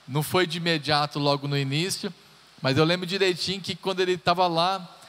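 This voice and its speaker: Pastor, man in his thirties, relaxed and joking from the pulpit; slightly high voice, live hall reverb. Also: a little fast. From Brazil. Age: 30s. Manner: chatty and joking, talks straight to the congregation. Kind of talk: sermon introduction, personal stories. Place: church hall.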